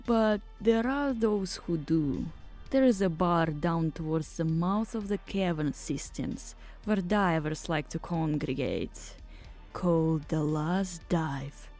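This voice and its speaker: A woman voicing a stern, commanding insect-bodied matriarch with a Russian accent who speaks low and with caution. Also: slow pace, darkish tone, faint music behind. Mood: grave.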